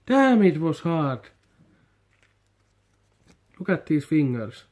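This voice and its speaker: Slow-talking Finnish man unboxing toys. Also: a little high, big pitch swings, darkish tone. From Finland. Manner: hesitant, repeats words, halting non-native English. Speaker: toy unboxing vlogger. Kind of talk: casual unboxing commentary.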